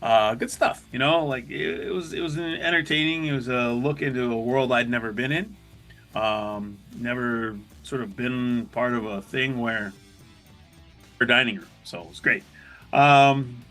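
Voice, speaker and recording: A man's voice: medium pitch, pushed and bright. A middle-aged man hosting a podcast alone, telling his own story in a candid, self-deprecating, conversational way. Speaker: podcast host, chef. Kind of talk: casual solo podcast storytelling.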